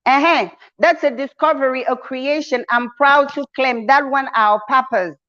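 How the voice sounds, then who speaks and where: A woman with a notably high voice delivers a motivational address to her online community. She is emphatic and preacher-like, often using endearments for her listeners. The recording is dull and a little lo-fi. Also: normal speed, fairly bright tone. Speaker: channel host, community leader.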